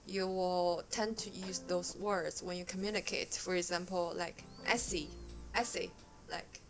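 A woman's voice: medium pitch, fairly bright tone, poor audio. A young adult woman from China with a Chinese accent and Chinese as her first language, chatting as a podcast guest in a hesitant way, with many fillers. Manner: hesitant, frequent fillers, laughs easily. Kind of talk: casual chat.

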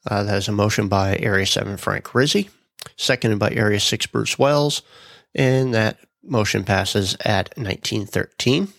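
A man's voice: on the low side, moderate pace, gravelly, dry recording. A man hosting a solo podcast, a little stuffed up from a cold, talking casually with frequent ums while reading out meeting notes. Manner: relaxed, many ums and uhs, reads out minutes. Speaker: podcast host.

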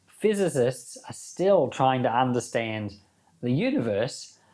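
A man's voice: medium pitch, big pitch swings, takes it slowly, a little mellow. A man giving an informal sermon as a Bible teacher, reading scripture and commenting in a conversational way with rhetorical questions.

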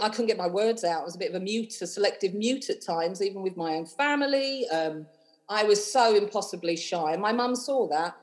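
A woman's voice: medium pitch, darkish tone, low-quality recording. A woman in her thirties with a London accent, chatting effusively as an interview guest, rambling with many fillers. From London, England. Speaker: cabaret host and singer, guest. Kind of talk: casual interview chat.

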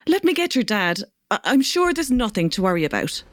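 A woman's voice: medium pitch, big pitch swings, a little fast, natural voice. A woman playing a caring, anxious grandmother in an audio drama. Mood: worried, tender. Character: caring, worried Irish grandmother.

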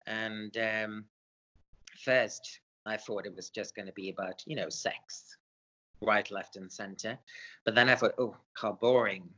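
A man's voice: lowish voice, fairly even intonation, unhurried, sharp tone, lo-fi sound. A man born in Sweden, an author answering interview questions hesitantly with many fillers. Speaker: author guest.

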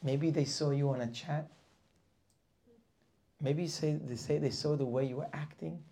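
Gravelly, impassioned Torah class teacher man. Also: on the high side, fairly even intonation, measured pace, mellow. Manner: impassioned preaching with rhetorical questions, dropping to near whispers. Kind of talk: weekly Torah class lecture.

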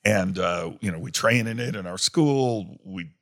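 A man's voice: low voice, unhurried, no room sound, clean studio sound. A middle-aged man, a pastor, hosting a casual podcast interview, rambling with many fillers and restarts.

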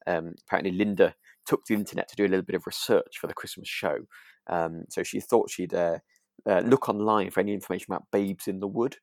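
A man's voice: deep voice, fairly even intonation, fast, gravelly, dry recording, studio quality. A man co-hosting a podcast in casual chat, enthusiastic and often repeating words as he finds his phrasing. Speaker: podcast co-host.

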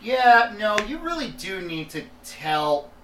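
Male co-host, stammering and excitable, casual pop-culture chatter; echoey, off-mic sound with faint noise. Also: high voice, slow, recorded from a distance. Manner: excitable, stammering, repeats phrases while searching for words. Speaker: podcast co-host. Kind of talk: casual chat about films and games.

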